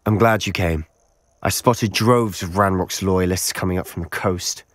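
A blunt, impatient young wizard. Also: male voice, deep voice, moderate pace, no echo.

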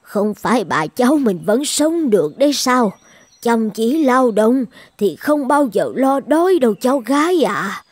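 A woman voicing a kind, selfless elderly village midwife and grandmother in an animated folktale, speaking gently and earnestly. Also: a little high, measured pace, no echo. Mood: gently firm.

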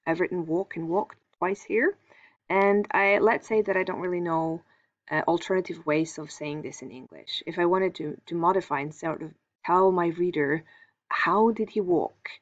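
A Norwegian woman teaching a screen-recorded lesson, explaining calmly and thinking aloud with small fillers. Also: fairly low pitch, moderate pace, highs cut off.

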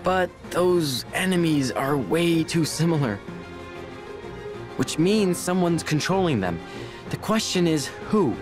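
A man's voice: a little high, expressive, slow. A man playing an earnest teenage boy robotics prodigy and hero in a video game cutscene, hesitant when thinking. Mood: puzzled, earnest. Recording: music behind the voice.

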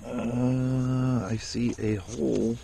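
A man's voice: mid-range voice, flat delivery, drawn-out words, vocal fry, warm tone, slight background noise. A man casually vlogging outdoors, unboxing and trying a camera pole while thinking aloud. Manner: rambling, self-deprecating, thinks aloud. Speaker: vlogger reviewing gear. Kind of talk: casual unboxing and ride vlog. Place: outdoors on a rural property. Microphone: action camera held in hand.

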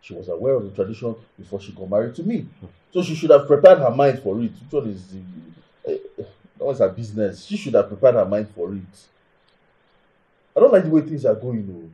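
A male actor plays an aggrieved Nigerian husband in a naturalistic film drama. His medium-pitched voice moves up and down dramatically. He is indignant and entitled, hammering his points with repeated rhetorical questions. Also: lively intonation, fairly quick, warm tone, highs cut off, lightly acted. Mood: sullen, aggrieved. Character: aggrieved, hot-tempered, entitled husband bound to tradition.

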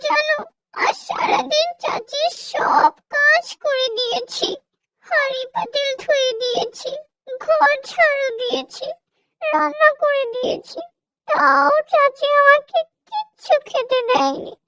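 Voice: very high-pitched, big pitch swings, takes it slowly, bright, forceful tone, heavily acted, poor audio. A performer voicing a timid, hungry little orphan child in a children's cartoon, plaintive and pleading. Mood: sad, plaintive.

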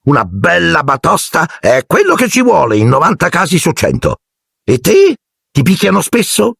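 A man doing a shrill bossy aunt. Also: very animated, moderate pace, gravelly, a bit bright, husky, dry recording, clean studio sound, exaggerated voice. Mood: scolding. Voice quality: shrill barking falsetto. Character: bossy loud middle-aged aunt, domineering.

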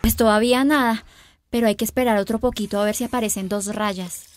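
A woman's voice: fairly high pitch, fairly even intonation, a little fast, close to an everyday voice. An actress playing a lovestruck, naive seventeen-year-old Colombian schoolgirl in a radio soap opera, gushing and girlish. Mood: secretive, tense.

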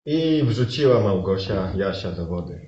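A man narrating improvised comic fairy tales as a stage performer, in a mock-solemn, deliberate way. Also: sits low, sing-song, mellow. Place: indoor live performance space. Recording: echoey live room, muffled, noisy.

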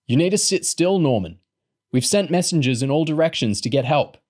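A man's voice: mid-range voice, measured pace, darkish tone, natural voice. A man voicing an earnest boy who speaks firmly and reassuringly in an audiobook. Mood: firm reassurance. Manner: firm, reassuring.

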